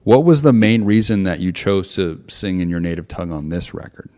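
A man hosting an interview, asking measured, prepared questions. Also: sits low, expressive, dark tone. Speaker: interviewer host.

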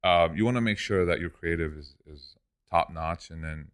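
Deep-voiced young man, laid-back. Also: normal speed. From Lebanon. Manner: relaxed, says "dude" and "man" often. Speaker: guest, e-commerce entrepreneur. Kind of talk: casual podcast interview.

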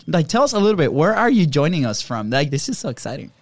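Higher-pitched man, chatty and effusive. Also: very animated, quick pace, no room sound. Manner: effusive, repeats words and phrases. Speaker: podcast co-host. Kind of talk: casual, chatty live show hosting.

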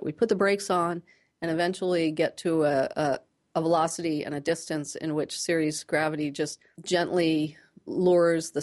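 A woman speaking as a scientist guest in a radio interview, explaining things plainly and in a measured way, pausing to choose her words. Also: low voice, a little mellow.

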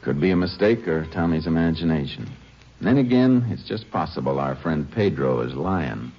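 Low-voiced, calm lawman. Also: moderate pace. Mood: probing. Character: calm, methodical, kindly Texas Ranger investigator. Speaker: lead and narrator. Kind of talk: 1950s radio drama acting and narration. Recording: muffled, noisy old radio recording.

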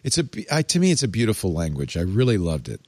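Older man, podcast host, animated up-and-down voice, dry close sound, chatty. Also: low voice, no echo. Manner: animated, chatty, quick to laugh. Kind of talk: casual chat.

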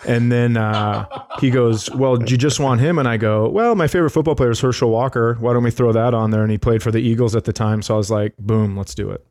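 Man, middle-aged, average pitch, casual comic storyteller, rambling, joking, podcast co-host. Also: a little fast, few pauses, darkish tone. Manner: rambling, jokey.

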